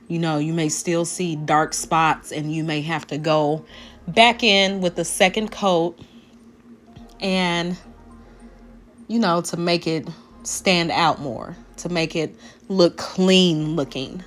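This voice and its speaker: A woman, deep and a little raspy after a sinus infection, chatting through a nail tutorial voice-over and talking straight to her viewers. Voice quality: naturally deep voice. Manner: chatty, rambling, addresses viewers directly. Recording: faint background music.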